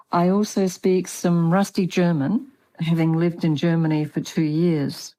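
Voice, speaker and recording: An older woman with an Australian accent, a guest author calmly telling her life story in a measured, prepared way over a remote call. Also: on the low side, poor audio. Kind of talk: prepared personal account.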